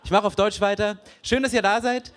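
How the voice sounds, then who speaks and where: A pastor welcoming guests to his church, switching between German and English with quick apologetic asides. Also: sits high, steady tone, fairly bright tone, clean tone. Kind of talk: welcome speech at a church event. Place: church hall.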